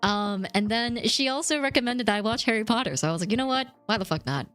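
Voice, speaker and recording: A woman streamer chatting casually and thanking viewers, her voice slightly rough from a recent cough. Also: on the high side, animated, fast, fairly bright tone. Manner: chatty, rambling, reads chat and thanks subscribers. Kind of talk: casual livestream chat.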